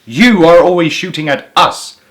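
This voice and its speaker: A man putting on a huge storybook duck with a cool, mocking voice. It jumps up high in sharp, pointed questions. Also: lively intonation, unhurried, faint music behind. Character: giant cold, mocking duck.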